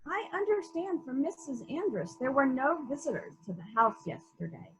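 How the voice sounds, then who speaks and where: A methodical female detective played by a woman runs a murder inquiry. Her voice is fairly high and very lively in pitch, as she muses deliberately and asks pointed questions. It sounds like a dramatic mystery reading. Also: poor audio. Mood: musing. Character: female police detective in charge, probing and methodical. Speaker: actor in a library's dramatic reading.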